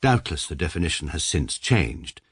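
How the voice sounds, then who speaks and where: An actor with a British accent playing a stiff, pedantic upper-class lawyer, narrating and speaking formally and precisely. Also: male voice, rumbling low, sing-song, normal speed, a bit bright, breathy edge, dry recording, studio quality, heavily acted. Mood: pompous narration. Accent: British English. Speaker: narrator and lead. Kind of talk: radio comedy drama.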